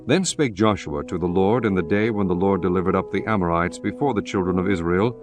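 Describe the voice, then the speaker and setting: A man narrating scripture in a measured, solemn way. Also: low voice, music in the background.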